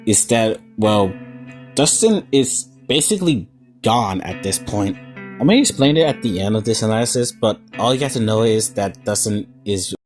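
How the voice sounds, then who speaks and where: Animated man commentating, faint guitar music. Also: fairly low pitch. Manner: informal, stumbling over wording. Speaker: video essay host. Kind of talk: casual analysis commentary on a horror series. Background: faint plucked guitar music.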